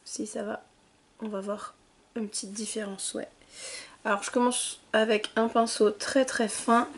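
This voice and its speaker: Woman, beauty vlogger, bubbly tutorial chat, fairly high voice, soft trailing asides.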